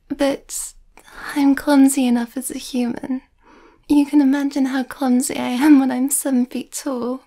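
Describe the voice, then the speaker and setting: A woman playing a shy, sweet young werewolf girlfriend who overthinks, speaking softly and hesitantly in an ASMR roleplay, often close to a whisper. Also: sits high, full character voice. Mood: bashful, playful. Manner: hesitant, breathy, often near whisper, nervous.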